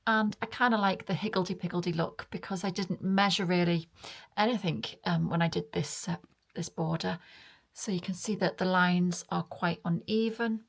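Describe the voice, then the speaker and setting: A British woman giving a casual, chatty craft tutorial as a vlog host. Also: lowish voice. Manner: chatty, informal.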